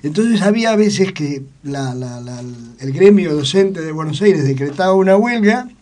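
An older man with an Argentine Spanish accent whose first language was Italian, telling his family story in a radio interview with many pauses and repeated words. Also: on the high side, lively intonation, a little mellow. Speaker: interview guest.

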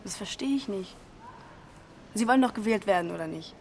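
A woman's voice: mid-range voice, expressive, a little fast, a little mellow, a little hiss or hum, close to an everyday voice. An actress playing a cool, shrewd woman in her twenties in a radio drama, direct and challenging in natural conversation. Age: 20s.

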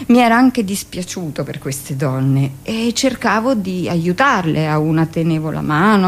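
An actress playing a hardened midwife, recounting hospital memories matter-of-factly in a theatrical radio monologue. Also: female voice, fairly low pitch. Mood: matter-of-fact, grim.

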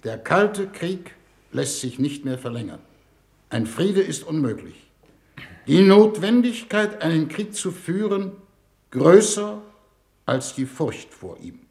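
A man playing a grave, authoritative foreign minister, speaking formally and with measured oratory in a 1950s radio drama. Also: on the high side, expressive, vocal fry, darkish tone, exaggerated voice. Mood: grave, solemn.

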